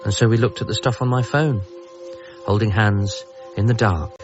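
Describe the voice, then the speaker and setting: A man's voice: fairly low pitch, fairly even intonation, moderate pace, natural voice. An actor playing a wry, earnest man who narrates his own story in a radio drama, conversational. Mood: wry, reflective. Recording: slightly muffled, music behind.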